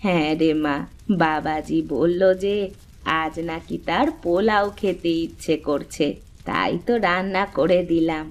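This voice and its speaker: A woman voicing a kindly older village aunt in a cartoon, gentle, homely and sage in manner. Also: low-pitched, measured pace. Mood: warm, motherly. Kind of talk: cartoon dialogue acting.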